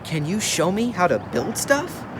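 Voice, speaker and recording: Man playing an eager, high-pitched young boy. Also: noisy background. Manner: earnest, halting when excited. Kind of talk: church puppet show dialogue.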